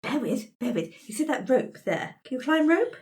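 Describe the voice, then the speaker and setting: A woman improvises at a tabletop game in a fairly high, swooping voice. She is polite and coaxing, stopping and restarting, with faint noise behind her. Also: very animated, normal speed. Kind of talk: improvised in-character roleplay chat.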